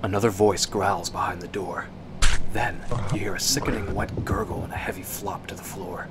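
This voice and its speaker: A man narrating a suspense radio drama in the second person, with measured, hushed storytelling. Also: sits low, steady tone, background noise, lightly acted.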